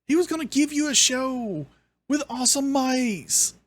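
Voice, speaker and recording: Male commentary host, deep bassy voice, sarcastic ranting delivery. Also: measured pace, fairly bright tone. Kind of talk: reaction commentary.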